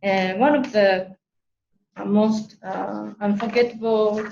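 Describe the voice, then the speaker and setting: Slow, hesitant Japanese-accented woman, echoey room. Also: medium pitch, fairly even intonation, darkish tone, muffled recording. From Tokyo, Japan. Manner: hesitant, searching for words in a second language. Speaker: workshop speaker. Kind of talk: informal online presentation. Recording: roomy echo, clear background noise.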